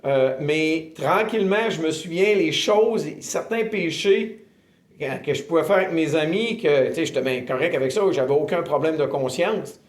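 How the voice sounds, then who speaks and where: Québécois guy in his 50s telling his faith story, lots of euhs, slightly high voice, echoey room. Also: normal speed. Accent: Québécois French. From Quebec, Canada. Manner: earnest, hesitant with frequent filler sounds. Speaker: ministry director giving testimony. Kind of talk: personal faith testimony, interviewed. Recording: roomy echo.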